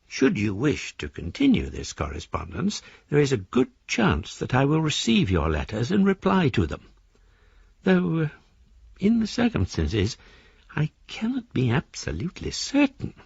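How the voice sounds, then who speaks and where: An actor playing a philosophical fugitive embezzler in a British radio drama, a mannered gentleman with a British accent reading his formal, ornate letters aloud. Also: medium pitch, huge pitch swings, normal speed, creaky, breathy edge, no room sound, lo-fi sound, heavily acted. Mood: courteous, hesitant.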